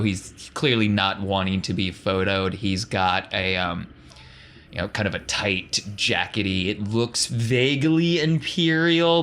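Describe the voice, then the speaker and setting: A man's voice: fairly low pitch, a bit bright. A man working as game master, improvising narration and rules in an informal, colloquial way. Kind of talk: improvised tabletop game narration and casual chat. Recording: clear background noise.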